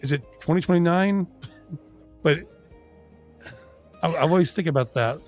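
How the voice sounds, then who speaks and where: A man's voice: a little high, moderate pace. A man on a late livestream, voice wearing out, cursing casually and tripping over words as music plays faintly behind him. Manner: sweary, informal, stumbling over words. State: losing his voice. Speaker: livestream co-host.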